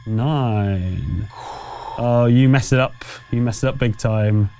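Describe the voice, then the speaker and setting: A man running a tabletop game as game master, improvising narration in a conversational, halting way. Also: medium pitch, mellow. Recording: music behind the voice. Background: music.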